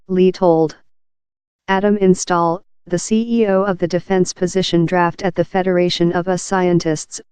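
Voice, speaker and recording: A woman's voice: fairly low pitch, mellow, clear, resonant tone, dry recording. A woman narrating scripted news in a stiff, mechanical reading manner.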